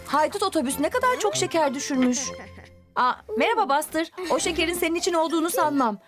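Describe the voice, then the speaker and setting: A woman narrating a cartoon for young children, expressive and exclamatory, often asking questions and addressing the characters. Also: very high-pitched, fast, over music.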